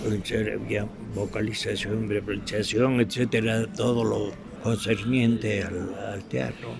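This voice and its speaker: Older male interviewee, Ecuadorian accent, retired radio actor. Gravelly, halting delivery, unhurried, over clear ambient noise. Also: on the low side. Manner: halting, searching for words. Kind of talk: reminiscing in an interview.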